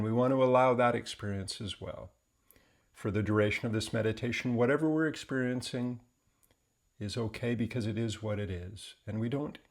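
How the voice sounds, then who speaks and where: A man leading a guided meditation in a gentle, unhurried, deliberate way, leaving long pauses between phrases. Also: mid-range voice, gravelly.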